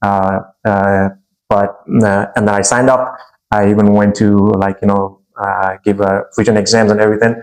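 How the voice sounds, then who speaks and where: Man from Nepal, native Nepali speaker, thirties, lowish voice, halting talk, lots of fillers. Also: little pitch movement, poor audio. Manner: halting, many fillers and restarts. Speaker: guest. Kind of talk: casual interview chat.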